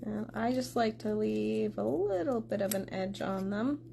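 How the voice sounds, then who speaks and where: A woman of middle age talks viewers through a card-making demo in a chatty, running commentary. Her voice has a gravelly fry to it, and she sounds a little congested from a lingering sore throat. A faint noise sits under the recording. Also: mid-range voice, measured pace, dark tone. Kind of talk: casual craft tutorial.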